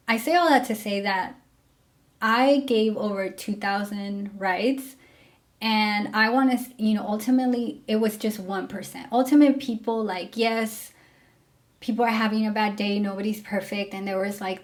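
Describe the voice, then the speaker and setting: A woman's voice: medium pitch, normal speed. Frank, casual woman telling her story. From Mexico. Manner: candid, frank, with many fillers. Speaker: former rideshare driver.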